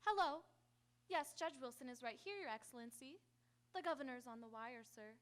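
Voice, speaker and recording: An anxious secretary in a radio play, played by a woman. Her high voice is polite and hesitant. She speaks with careful deference. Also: animated, brisk, fairly bright tone. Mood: anxious politeness. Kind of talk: live old-time radio drama acting.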